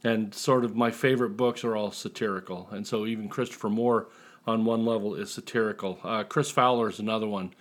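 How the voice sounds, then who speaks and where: A man's voice: on the low side, fairly even intonation, a little fast. A man talking casually as a guest author in an interview, with a joking, self-deprecating manner.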